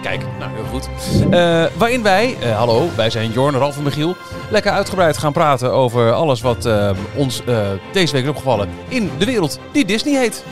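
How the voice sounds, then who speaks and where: A man's voice: medium pitch, sing-song, normal speed, few pauses, music in the background. A man presenting a podcast in a casual style, speaking close to the microphone. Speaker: podcast host.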